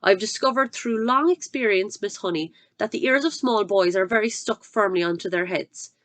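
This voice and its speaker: A woman voicing a huge, cruel headmistress who barks her words with loud scorn and contempt. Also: moderate pace, no echo, close to an everyday voice. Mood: roaring. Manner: barking, booming and contemptuous.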